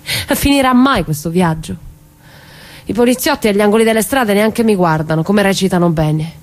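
A woman's voice: mid-range voice, moderate pace, a little mellow, lightly acted. An actress playing a weary, embittered mother living in hiding, in a theatrical dramatic monologue. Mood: weary, sorrowful.

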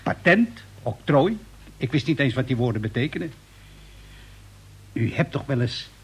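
An actor playing an eccentric, opinionated inventor in a radio play, chatty and indignant, with emphatic rhetorical delivery. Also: male voice, medium pitch, animated, normal speed, husky. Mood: chatty, proud. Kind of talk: Dutch radio play dialogue.

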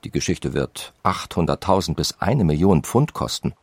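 Reads a period letter, very low, gravelly, dry close sound. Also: male voice, animated, normal speed, dry recording, full character voice. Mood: skeptical. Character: man reading a brother's letter aloud. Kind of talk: dramatized letter reading.

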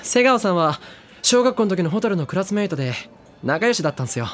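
A man's voice: high-pitched, sing-song, quick pace, a bit bright. Cocky teen guy, loose slangy talk, faint music in the drama cd. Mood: easygoing. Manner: laid-back, slangy. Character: casual, brash teenage boy. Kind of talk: anime drama CD acting.

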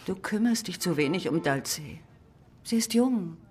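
An actress playing an anxious woman in a radio crime drama, softly pleading with a violent man. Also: fairly low pitch, animated, measured pace, slight background noise. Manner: soft, pleading, soothing. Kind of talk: radio play acting.

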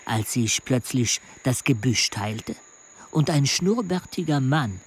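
An actor playing an earnest travel companion who recounts a jungle expedition in measured, literary radio play narration. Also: male voice, mid-range voice, lively intonation, slight background noise. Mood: recounting.

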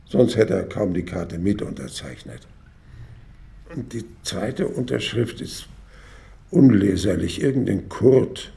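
An actor playing an old former radio writer, musing haltingly and wryly in a reflective radio play monologue. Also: male voice, mid-range voice, vocal fry, dark tone. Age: older. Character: old former radio writer sorting his papers, wistful and wry.

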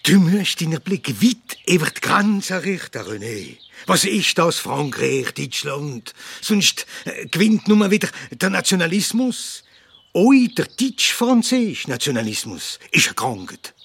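An actor playing an earnest Alsatian doctor and humanist in a radio play, speaking colloquial dialect with an Alsatian accent and searching pauses. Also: male voice, a little high, very animated, gravelly, a bit bright, slightly rough, full character voice. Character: warm humanist Alsatian doctor and philosopher, argumentative, fond of schnapps.

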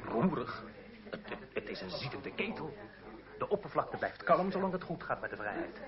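An actor playing a lively, shrewd companion, talking emphatically in a muffled old Dutch radio play. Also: male voice, on the high side, moderate pace, a crowd in the background. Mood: animated, wry. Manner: animated, emphatic. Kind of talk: radio drama acting in Dutch.

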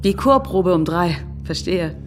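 A woman's voice: on the low side, expressive, unhurried, over loud music. An actress playing a sardonic married city woman in an audio drama, speaking in a dry, ironic, conversational way. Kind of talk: German audio drama acting.

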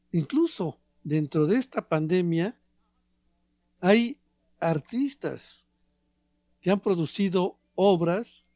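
A man giving a reflective radio talk on art, measured and professorial, pausing between phrases. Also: high voice, warm tone, no echo, highs cut off. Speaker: radio commentator.

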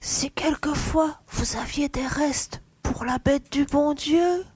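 A man voicing a sickly, pleading old beggar woman in a thin, breathy voice for an audiobook. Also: very high-pitched, slow pace, a little mellow, no room sound, voice pushed up. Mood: feeble, pleading.

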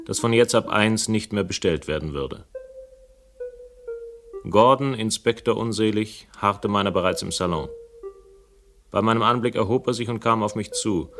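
An actor playing a wry, world-weary detective who narrates a radio crime play in a dry, sardonic, wordy way. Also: male voice, lowish voice, a bit bright. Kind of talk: German radio crime drama acting and first-person narration.

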